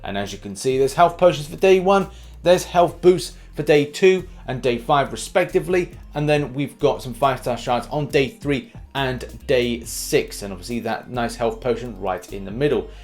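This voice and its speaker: A man's voice: medium pitch, normal speed, a little mellow, soft background music. A British man hosting an upbeat gaming news update, informal and cheeky, punching the starts of his phrases. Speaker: gaming news YouTuber and host.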